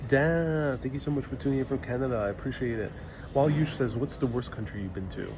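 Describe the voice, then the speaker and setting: A man's voice: mid-range voice, fairly quick. A man from New York City hosting a casual live travel Q&A, reading viewer comments aloud and answering in an easygoing, joking way. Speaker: travel livestream host. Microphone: phone livestream mic. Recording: crowd noise around a phone stream. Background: crowd chatter.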